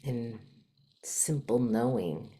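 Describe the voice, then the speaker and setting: A woman leading a guided meditation in a soft, gentle manner, with long pauses and some breathiness. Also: a bass voice, lively intonation.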